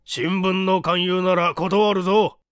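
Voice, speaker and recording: A man voicing a gruff, blustering, eccentric older professor in an audiobook mystery story. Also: high-pitched, fairly even intonation, slow pace, no echo, clean studio sound, voice pushed up. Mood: irritable. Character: cantankerous, eccentric older male professor who scolds. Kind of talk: audiobook character acting in Japanese mystery story.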